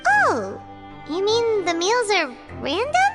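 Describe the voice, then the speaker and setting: Tiny squeaky fairy sidekick, extremely high, bouncy swooping speech, childlike, excitable, game music behind. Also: female voice, slow, full character voice. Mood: excited. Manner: refers to self in third person. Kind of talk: video game voice acting.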